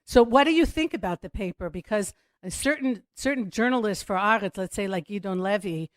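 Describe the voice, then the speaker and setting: A woman's voice: medium pitch, fairly quick, no room sound. A woman hosting an interview podcast, asking chatty, eager questions. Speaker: podcast host.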